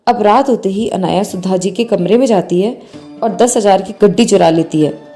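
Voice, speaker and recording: A woman's voice: medium pitch, moderate pace, dark tone, faint music behind. A woman narrating a scripted bedtime moral story.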